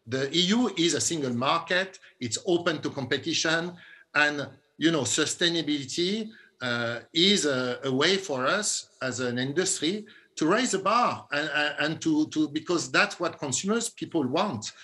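Bright-voiced executive explaining step by step. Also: male voice, fairly high pitch, animated, normal speed. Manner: explanatory, stepwise, with fillers. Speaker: company executive panelist. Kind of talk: panel discussion answer by video call. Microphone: video call webcam.

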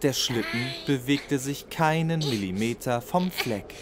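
A narrator telling a children's bedtime story in an expressive, scripted storytelling manner. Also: medium pitch, measured pace, background noise. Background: music.

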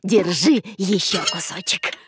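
A woman's voice: fairly low pitch, measured pace, a little hiss or hum, close to an everyday voice. A woman voicing a doting, fussing monster mother in a children's cartoon, cooing with pet names.

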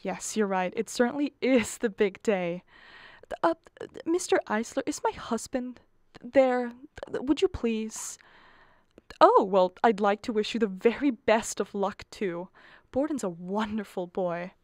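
A woman's voice: fairly high pitch, lively intonation, a little slow, a little mellow, no echo, studio quality. A woman playing a nervous, harassed middle-aged mother of the bride in a radio play, pleading and exasperated. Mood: forced cheer.

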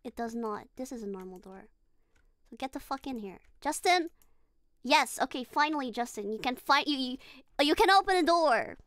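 A woman's voice: high-pitched, animated, moderate pace, a bit bright, dry recording, studio quality. A woman streaming a horror game, chatting casually, giggling and talking to her character. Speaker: VTuber streamer. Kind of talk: casual gaming livestream chat.

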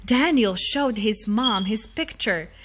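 A woman reading a children's storybook aloud in an expressive style as the storytime reader. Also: on the high side, takes it slowly, breathy edge.

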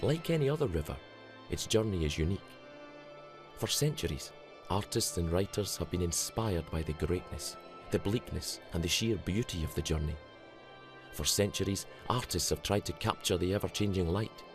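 Deep male documentary narrator over music. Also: normal speed. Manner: steady, descriptive.

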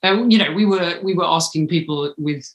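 A woman's voice: lowish voice, moderate pace. British female, thirties, chatty podcast guest, roomy home-call sound. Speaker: interview guest, podcast creator. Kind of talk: casual interview chat. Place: small home room, indoors.

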